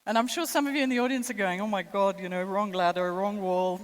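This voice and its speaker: A woman's voice: medium pitch, fairly even intonation, fast talker, big-room sound. A woman in her thirties with a mixed international accent, French by mother tongue, giving a chatty, self-deprecating conference keynote. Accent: mixed international, French and American traces. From born in the United States, raised in six countries. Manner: chatty and self-deprecating, with light laughs and fillers. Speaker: keynote speaker. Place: large conference hall.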